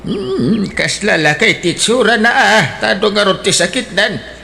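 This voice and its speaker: A male actor voices a cheeky middle-aged husband in a radio drama. His voice rides high and lively with a gravelly rasp and a bright, forceful edge. He jokes around and brushes off his wife's complaints. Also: measured pace, exaggerated voice. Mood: teasing. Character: middle-aged husband, a gift-seeking, teasing visitor. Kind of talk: Ilocano radio drama acting.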